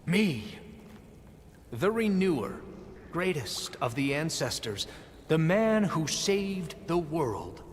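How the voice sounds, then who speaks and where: A man's voice: on the high side, big pitch swings, slow, in a large hall, a little hiss or hum. An actor playing a grandiose, self-deluded male leader in a video game, speaking in pompous, theatrical declarations. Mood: grandiose, fervent. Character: grandiose, self-deluded male leader who believes himself a reborn ancestor. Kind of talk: video game cutscene acting.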